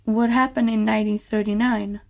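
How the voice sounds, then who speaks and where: A woman's voice: a little high, fairly even intonation, normal speed, gravelly. A female performer playing a curious, eager seven-year-old daughter in a scripted radio play. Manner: inquisitive, pleading.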